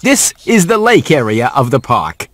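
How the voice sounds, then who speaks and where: A man's voice: medium pitch, very animated, unhurried, a bit bright. A man voicing a streetwise, wisecracking animal sidekick in a game, with slangy, grumbling, wry delivery. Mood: wry, instructive. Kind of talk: scripted game cutscene dialogue.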